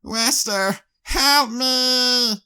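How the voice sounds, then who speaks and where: A man's voice: very high voice, extremely slow, bright, forceful tone, clear, resonant tone, pitched above the performer's own voice, full character voice. A man voicing a brave young female pony in a storybook reading, anxious, caring and pleading. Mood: terrified.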